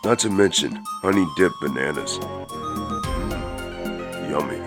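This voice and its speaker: A man's voice: sits low, expressive, slow pace, loud music behind the voice. A man voicing a big, strong, goofy and hot-tempered gorilla in a scripted comedy cartoon, with a blunt, boastful manner. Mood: casual.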